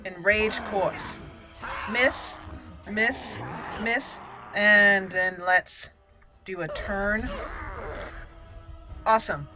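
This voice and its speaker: A woman's voice: medium pitch, very slow, pauses often, music in the background. A woman doing casual, chatty let's play commentary with some roleplay, playful in manner. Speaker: gaming video host.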